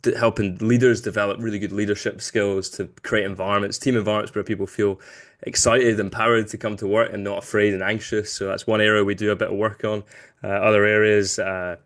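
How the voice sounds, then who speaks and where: A man in his late twenties with a lowish voice and a soft touch of Glasgow in his accent. He speaks as an interview guest, casual and chatty, happy to poke fun at himself. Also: level tone, a little fast. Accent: light Glaswegian. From Glasgow, Scotland. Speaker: podcast guest, business founder.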